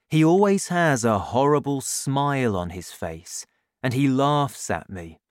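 Man doing lower-voiced father, confiding, slow, dry close sound. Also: dry recording. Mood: resentful. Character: warm, scheming father, quietly resentful. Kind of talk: audiobook character voice.

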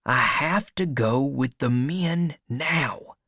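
Narrator doing a kid in an audiobook, voice way up high, earnest, urgent, kinda scared. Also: slow, bright, forceful tone, no echo, studio quality, heavily acted. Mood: excited thinking. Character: earnest frightened boy, urgent and pleading.

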